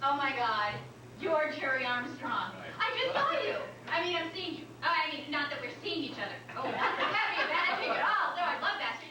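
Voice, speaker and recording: High-voiced, flustered young woman acted at a reading. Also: big pitch swings, fairly quick. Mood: flustered, gushing. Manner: flustered, stammering, rambling. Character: nervous, gushing young female first-time stand-up comic. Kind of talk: staged reading before an audience. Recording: roomy, distant, noisy live recording.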